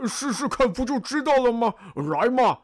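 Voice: medium pitch, sing-song, normal speed, lightly acted. An actor doing cheerful loyal bodyguard Xu Chu. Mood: cheerful coaxing. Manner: eager, coaxing, sing-song. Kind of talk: audio drama acting.

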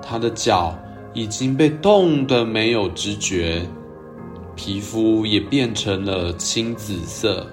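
A man hosting a storytelling show, narrating for young children in a gentle, expressive way. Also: on the low side, slow pace, faint music behind. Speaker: children's storytelling podcast host.

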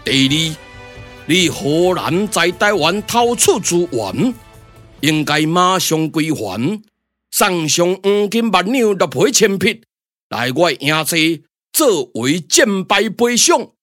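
A man's voice: high voice, sing-song, slow pace, fairly bright tone, dry recording, pitched below the performer's own voice, full character voice. A man with a Taiwanese accent playing an imposing, righteous rebel leader, solemn and formal, declaiming in a puppet radio drama. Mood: commanding. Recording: music under the voice.

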